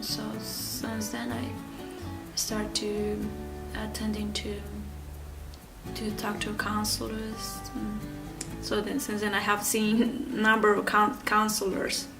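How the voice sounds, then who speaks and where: Woman from Ecuador, thirties, halting with fillers, slow even delivery, distant mic, guitar music behind. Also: mid-range voice, vocal fry. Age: 30s. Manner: halting, many fillers and restarts. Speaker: interview guest. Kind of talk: personal story interview.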